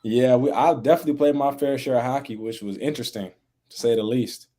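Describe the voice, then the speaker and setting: A young man talking casually as a podcast guest, relaxed and full of fillers, raised in the American Midwest. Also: mid-range voice, fast talker. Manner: relaxed, laid-back, many fillers like "like" and "you know". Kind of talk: casual interview chat.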